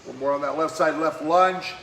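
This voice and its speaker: Male fitness coach, slightly hoarse voice, bright and forceful tone, encouraging workout cues. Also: fairly high pitch, steady tone. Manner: encouraging, brisk exercise cues. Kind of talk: live-streamed workout cueing. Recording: echoey room with faint background noise.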